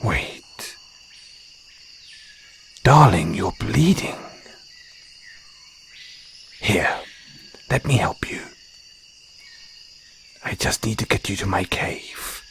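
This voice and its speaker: A man acting a territorial male naga in an intimate audio roleplay, speaking in a hushed, deliberate, breathy voice with long pauses. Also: lowish voice, expressive, a little hiss or hum, heavily acted. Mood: concerned, tender. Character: wary, territorial male naga, softening into flirtatious care. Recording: jungle insect ambience behind voice. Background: crickets and jungle ambience.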